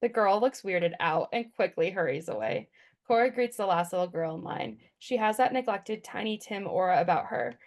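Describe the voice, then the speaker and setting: A woman's voice: medium pitch, lively intonation, moderate pace, darkish tone. A woman reading scripted stage directions as the narrator of a table read.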